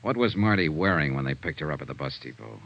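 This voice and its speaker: An actor playing a world-weary, wry insurance investigator in a vintage radio noir drama, with terse, punchy delivery. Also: male voice, rumbling low, sing-song, quick pace, vocal fry, exaggerated voice. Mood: alert, intent. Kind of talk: 1950s radio noir acting and narration. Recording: dull, noisy old radio recording.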